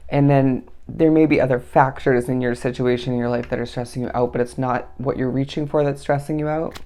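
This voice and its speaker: Raspy tired tarot reader up at 3am, gravelly, rambling and asking "okay?" a lot. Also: medium pitch, fairly even intonation, a little fast, mellow. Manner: meandering, musing, often asks the listener "okay?". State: tired, up at three in the morning. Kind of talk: daily tarot reading to camera.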